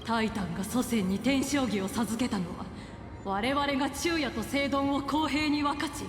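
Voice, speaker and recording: A woman's voice: high-pitched, fast. A woman acting a solemn, defiant rain-folk leader in a video game, speaking in a grand ceremonial manner with heavy reverb. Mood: defiant, accusing. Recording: echoing game audio under music.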